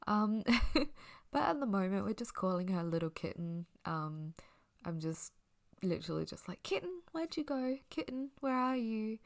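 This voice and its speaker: A young woman from Australia rambling casually for a sleep audio, slightly croaky from hay fever, drifting into whispers. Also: medium pitch. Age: young adult. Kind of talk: soft sleepy rambling chat.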